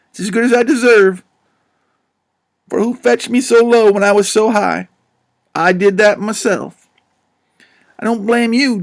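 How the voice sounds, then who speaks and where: A man's voice: high voice, creaky. A man voicing a self-pitying young male swindler who talks in a theatrical, grandiloquent way in an audiobook. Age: young adult. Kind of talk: audiobook character voice.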